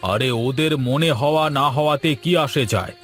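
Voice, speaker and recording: A man voicing an insistent, deliberate birth father from a rich family in a cartoon drama. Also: mid-range voice, fairly bright tone, faint music behind, lightly acted. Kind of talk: Bengali cartoon voice acting.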